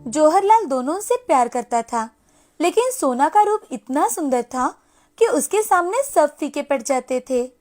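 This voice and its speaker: A woman's voice: high voice, very animated, measured pace, darkish tone. A woman narrating a scripted storybook tale as the storyteller, with music behind her. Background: background music.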